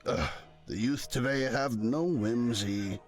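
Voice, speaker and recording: A man's voice: mid-range voice, takes it slowly, soft background music. A man playing a haughty, condescending film snob in a visual novel game, with a pompous, patronizing, theatrical manner.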